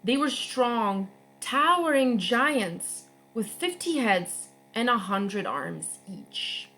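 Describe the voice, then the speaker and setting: A young woman reading a myth aloud for a children's storytime, slowly and expressively. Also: slight background noise, poor audio. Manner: slow, expressive read-aloud with lively pitch. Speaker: guest reader.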